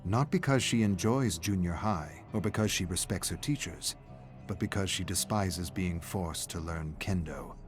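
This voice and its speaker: Deep male narrator, measured suspenseful dark story reading, bit of music behind.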